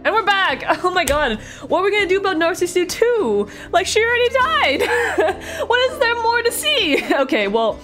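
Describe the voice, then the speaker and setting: A woman's voice: very high voice, very animated, normal speed, hardly any pauses, fairly bright tone. A young woman streamer giving casual, rambling reaction commentary on a story game, giggling and trailing off mid-thought, with faint game music behind. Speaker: let's play streamer.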